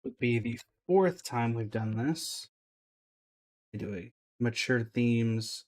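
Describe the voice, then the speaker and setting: A man's voice: medium pitch, expressive, slow pace, darkish tone. A man chatting casually to viewers as he hosts a live gaming stream. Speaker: livestreamer.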